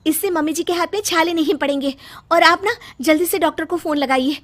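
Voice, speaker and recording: Woman playing a meek, high-pitched young cartoon daughter-in-law. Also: fairly quick. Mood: earnest. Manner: deferential, earnest.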